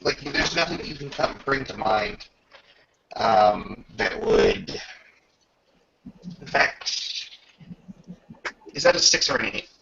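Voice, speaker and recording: A man narrating a tabletop roleplaying game as game master, casual and descriptive, with a gravelly, husky voice. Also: mid-range voice, expressive, takes it slowly, pauses often, pushed and bright, slight background noise. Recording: muffled lo-fi voice recording.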